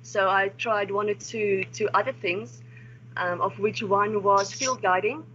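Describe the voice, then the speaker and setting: A woman's voice: mid-range voice, fairly even intonation, unhurried, a bit bright. Young South African woman on a call. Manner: friendly, informal, with some restarts. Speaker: guest speaker, wildlife research assistant. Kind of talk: online seminar talk. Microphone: video call. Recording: slightly dull online call audio with faint noise.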